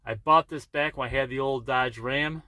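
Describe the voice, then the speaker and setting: A man's voice: mid-range voice, moderate pace, sharp tone, slight background noise. A man talking in a casual, rambling, plain-spoken way, like a truck driver vlogging from his cab. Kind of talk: casual rambling vlog chat.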